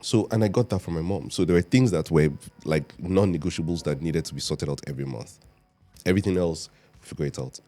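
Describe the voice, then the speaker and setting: Nigerian man, 30s, very deep mellow raspy voice, laid-back storyteller over faint music. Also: a little fast. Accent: Nigerian English. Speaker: podcast guest. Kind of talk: casual chat.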